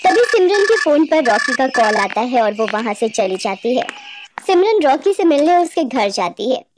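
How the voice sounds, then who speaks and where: A woman's voice: high-pitched, sing-song, normal speed, background noise. A woman narrating a cartoon moral story from a script.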